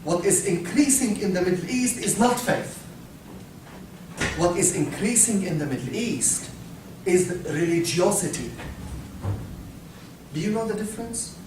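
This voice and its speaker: Slow, halting man in an echoey hall. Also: high-pitched, lively intonation. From Syria. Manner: halting, repeats words, asks the audience questions. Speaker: speaker. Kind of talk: lecture with audience questions. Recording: echoey hall, from far off, faint noise.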